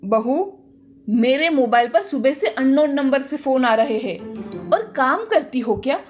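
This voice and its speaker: High-voiced indignant older mother-in-law, acted by a woman. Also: animated, unhurried, a little mellow, soft background music. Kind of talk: acted dialogue in cartoon moral story.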